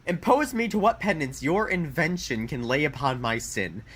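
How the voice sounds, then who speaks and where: A man playing a proud young gentleman lord in a Shakespeare radio play. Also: a little high, animated, normal speed, slightly rough. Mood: pleading.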